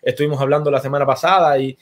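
A man's voice: medium pitch, fairly even intonation, rapid-fire. A man in his thirties with a Cuban accent, hosting a casual baseball talk show and greeting viewers. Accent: Cuban Spanish. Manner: chatty, sends greetings to viewers. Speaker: host.

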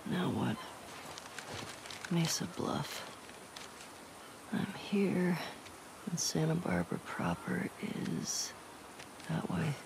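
A woman playing a hard, wounded young survivor in a video game, talking low and terse in a creaky voice. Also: big pitch swings, slow pace, long pauses, noisy background, heavily acted. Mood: muttering. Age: young adult. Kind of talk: naturalistic video game acting.

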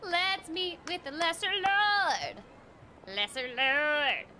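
Playful, high-voiced young woman streamer. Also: sing-song, slow, sharp tone, slight background noise. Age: young adult. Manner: playful, exclaiming, reactive. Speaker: game streamer, voice actor. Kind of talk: casual live gameplay commentary. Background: game music and dialogue.

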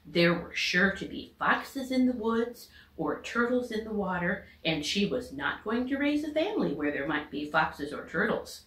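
A middle-aged American woman, a teacher, reading a children's storybook aloud with expressive narration. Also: on the low side. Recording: echoey home room recording.

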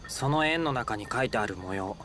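A man playing a gentle, earnest, studious young man in Japanese video game acting. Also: medium pitch, animated, moderate pace, slight background noise. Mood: thoughtful. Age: young adult.

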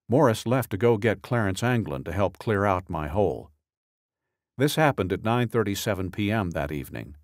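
A man reading a true-crime audiobook as scripted narration, in a measured, deliberate, matter-of-fact way. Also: on the low side, dry recording, clean studio sound.

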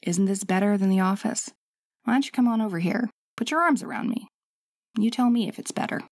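A woman's voice: medium pitch, a little fast, no room sound, clean studio sound, lightly acted. A woman playing a bossy, sassy but loving wife in an intimate ASMR audio roleplay, talking in a conversational, scolding and coaxing way. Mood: teasing, coaxing.

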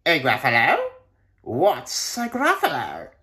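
A man's voice: fairly high pitch, huge pitch swings, pushed and bright, heavily acted. A man voicing a sly male snake in a children's storybook, drawing out his words. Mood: curious. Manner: drawn-out, inviting. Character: sly male snake, slow, falsely friendly predator.

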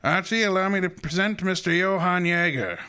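An actor playing a shrewd, commanding private detective with formal, precise diction in an old-time radio drama. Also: male voice, high voice, normal speed, pushed and bright, clear, resonant tone. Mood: triumphant. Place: live stage before an audience.

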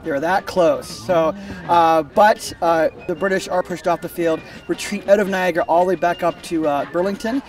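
A middle-aged man explains history in a loose, conversational way, stopping for fillers and restarts. He stands outdoors in a park at an event. His voice is a little high and caught by a camera mic set back from him. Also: normal speed, hardly any pauses, distant mic, faint music behind. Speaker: historical reenactor guide. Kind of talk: casual explanation.

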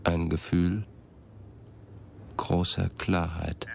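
Brooding radio play narrator, super gravelly, slow and measured, introspective. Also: male voice, deep voice, flat delivery, a little mellow, a little hiss or hum, full character voice. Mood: brooding, detached. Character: brooding first-person narrator, disoriented yet lucid. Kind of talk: scripted radio drama narration.

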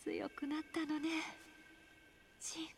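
A woman voicing a gentle, caring mother spirit in a game cutscene, soft and half-whispered. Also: sits high, monotone, unhurried, a little hiss or hum. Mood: tender. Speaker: game character. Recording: clear music behind.

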